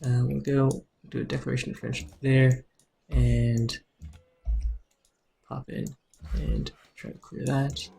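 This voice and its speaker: Man, mid-pitched, soothing voice; calm live game streamer explaining moves, keyboard clicks behind. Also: slow, vocal fry, long pauses, slight background noise.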